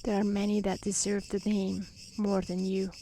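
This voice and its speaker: A woman voicing a kind, honest and polite young woman in a soft-spoken fairy tale reading, with an earnest manner. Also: medium pitch, completely flat delivery, normal speed, a little hiss or hum, close to an everyday voice. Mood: kind, reassuring.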